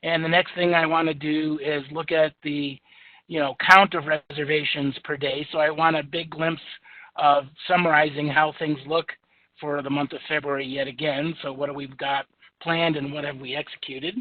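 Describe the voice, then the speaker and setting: Male presenter, booming and relaxed with fillers, pitched a bit high; dry, phone-like recording. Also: normal speed, no echo. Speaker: software demonstrator. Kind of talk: software screen-share demo. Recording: tinny phone-like audio, dry.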